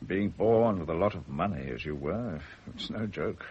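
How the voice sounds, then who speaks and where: Very deep, dry British doctor. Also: male voice, expressive. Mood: dry, frank. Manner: dry, measured, wry. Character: aging, worldly-wise English doctor, dry and philosophical. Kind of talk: mid-century radio drama acting. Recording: dull old radio recording, faint noise.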